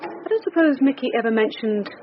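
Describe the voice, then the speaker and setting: Brisk English woman detective, quick-talking played by an actress. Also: a little high, expressive, close to an everyday voice. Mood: brisk, insistent. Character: brisk, wry, probing female police detective. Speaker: actress in a radio drama. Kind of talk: naturalistic radio drama acting. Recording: dull radio recording with hiss.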